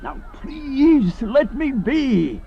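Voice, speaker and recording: An actor playing an aged, sickly vampire in a radio horror play, bitter and remorseful, in a grandiose, melodramatic manner. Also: male voice, extremely high pitch, big pitch swings, drawn-out words, gravelly, heavily acted. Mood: grim, resigned. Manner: grandiose, brooding, melodramatic monologue. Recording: dull lo-fi radio play with music.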